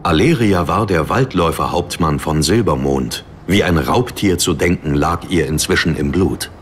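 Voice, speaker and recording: A man's voice: low voice, normal speed, a little hiss or hum. A man reading scripted dramatic narration for a fantasy audiobook.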